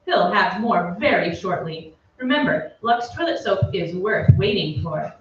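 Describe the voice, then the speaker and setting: An actress playing an earnest, upbeat 1940s radio soap announcer with emphatic sales patter, read on stage. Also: female voice, mid-range voice, expressive, unhurried, lightly acted. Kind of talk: 1940s radio commercial re-enactment. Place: theatre stage, away from the mic. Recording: very echoey stage, distant, dull, faint noise.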